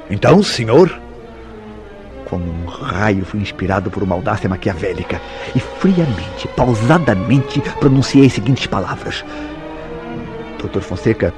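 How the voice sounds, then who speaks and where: A jealous, tormented lover from an old-fashioned radio soap opera. His voice is low, with formal, theatrical diction and weighty pauses, and prominent music plays behind it. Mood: bitter, intense. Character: Mauro, jealous, tormented lover confessing a crime.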